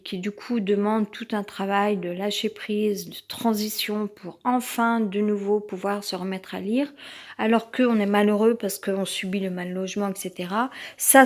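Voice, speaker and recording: A woman with a French accent who has a cold, giving a rambling personal monologue as a vlogger, tense and hesitant, with many fillers and restarts. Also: mid-range voice.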